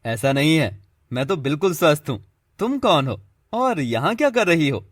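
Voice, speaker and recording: Brash cartoon crow, played by a man: husky, highish, very lively intonation. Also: moderate pace, dry recording, clean studio sound. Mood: cocky. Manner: brash, animated. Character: cocky, skeptical male crow. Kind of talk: Hindi animated moral story acting.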